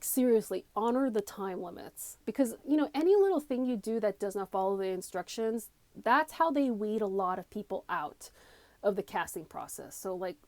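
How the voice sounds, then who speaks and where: A woman's voice: mid-range voice, no echo. A woman giving a casual, unscripted tutorial in a chatty, emphatic, self-deprecating way, recorded on a headphone mic. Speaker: comedy coach, video creator. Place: small cramped room. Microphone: wired or phone headphones mic.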